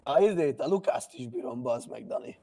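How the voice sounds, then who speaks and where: A man's voice: mid-range voice, animated, vocal fry, dark tone. A man bantering casually during an online role-play game, slangy and given to swearing.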